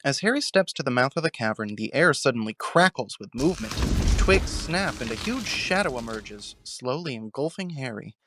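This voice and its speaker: A man's voice: mid-range voice, lively intonation, normal speed, few pauses, background noise. A man reading scripted narration for a fan audio drama, recorded close on the mic. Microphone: close mic, dry recording.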